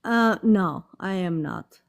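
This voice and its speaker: A woman's voice: on the low side, lively intonation, slow pace, vocal fry. A woman from Germany, a guest on a live stream, chatting in an easygoing, friendly way. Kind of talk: casual chat.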